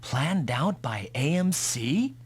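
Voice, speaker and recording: An actor playing an earnest male mech pilot hero with a husky voice in an anime-style game dub. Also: on the high side, very animated, slow pace, a little hiss or hum. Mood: questioning, shocked.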